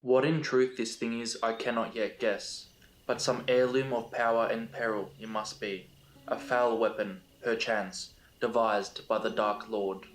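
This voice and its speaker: A man voicing a proud male captain who speaks gravely and earnestly. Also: mid-range voice, little pitch movement, unhurried, lightly acted, low-quality recording.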